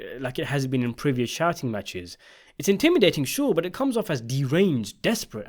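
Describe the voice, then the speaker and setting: A man narrating a scripted video essay, giving opinionated commentary on a television drama. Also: fairly high pitch.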